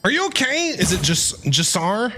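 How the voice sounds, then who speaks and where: A man giving casual, playful live reactions as he streams a game, chatty and excitable. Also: sits high, moderate pace, over music. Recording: game audio and clicks behind voice.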